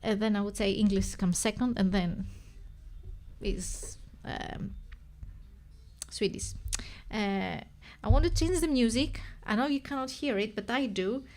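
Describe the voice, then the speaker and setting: A woman's voice: medium pitch, a little slow, a little mellow. A woman with a Greek accent, a native Greek speaker, hosting a casual live stream and thinking aloud with many restarts and self-corrections. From Greece.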